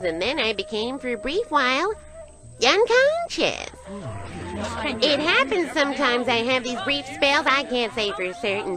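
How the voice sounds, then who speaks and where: A woman playing a scatterbrained squirrel witness who rambles in an earnest, folksy way in a rhymed comic story. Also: a little high. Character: chatty scatterbrained female squirrel witness, easily sidetracked.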